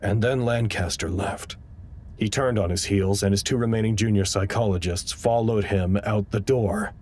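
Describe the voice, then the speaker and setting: A fairly low male voice from an actor plays an earnest, uneasy staffer at a research site. He speaks in a naturalistic audio drama style, measured and a little hesitant, as if keeping a careful log. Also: fairly even intonation, a little mellow, natural voice. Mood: sober, weary reporting.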